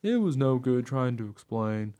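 A man's voice: medium pitch, very animated, normal speed, noisy background, close to an everyday voice. A man playing an eager, naive mongrel dog who tells his own story in a radio play. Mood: rueful. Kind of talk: first-person radio play narration.

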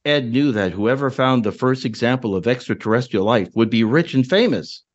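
A man, a podcast co-host, giving a dramatic reading of his own science fiction short story in a measured storytelling manner with dry asides. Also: mid-range voice, lively intonation, muffled recording.

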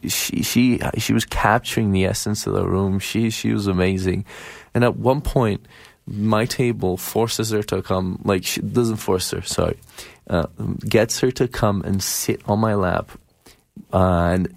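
A man with a Persian accent and a very low, gravelly voice hosts a music radio show. He talks loosely, full of fillers and restarts, in a slightly nasal tone. The sound is dry and close. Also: no room sound. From Iran. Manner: rambling, with many fillers and restarts. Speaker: radio host. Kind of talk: casual music radio chat.

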